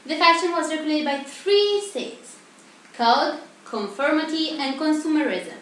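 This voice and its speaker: A woman's voice: high voice, lively intonation, measured pace, fairly bright tone. A young woman, sounding like a student presenter, delivers a scripted presentation as a mock TV broadcast host. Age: teenager or young adult. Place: echoey indoor room. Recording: very echoey room, distant mic, faint noise.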